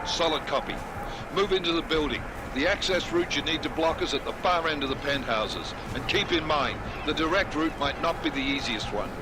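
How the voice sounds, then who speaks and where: An actor playing a brisk, businesslike special forces commander in a video game, giving terse, authoritative orders. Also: male voice, a little high, very noisy, lightly acted. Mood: urgent. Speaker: mission commander. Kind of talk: video game cutscene acting.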